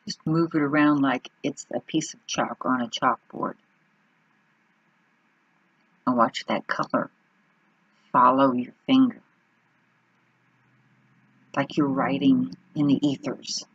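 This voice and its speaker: A woman leading an unscripted guided meditation in an unhurried manner, pausing between short phrases. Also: very deep voice, steady tone, breathy edge, no room sound, dull audio.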